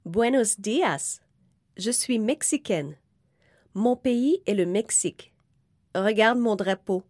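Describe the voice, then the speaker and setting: A woman reading a children's book aloud slowly and clearly, in careful, evenly spaced phrases. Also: mid-range voice, animated, a little mellow, dry recording, studio quality. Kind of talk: slow, clear read-aloud for young learners.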